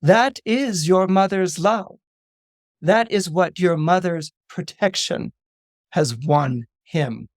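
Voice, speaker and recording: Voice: medium pitch, no room sound. Imperious female deity for oral myth telling, acted out by the storyteller: aloof, stern, commanding, dry recording. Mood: scolding. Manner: commanding, slow, pronouncing. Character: imperious wrathful goddess, female, coldly aloof.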